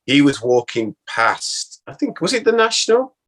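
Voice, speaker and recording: A man telling an anecdote in casual, halting conversation as an interview guest. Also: fairly high pitch, big pitch swings, fairly bright tone.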